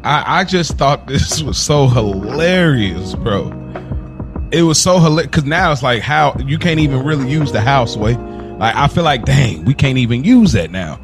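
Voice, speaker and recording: A man's voice: medium pitch, lively intonation, moderate pace, music in the background. A man in his thirties hosting a casual podcast, talking in slangy, conversational phrases that he often repeats for emphasis. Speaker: podcast host.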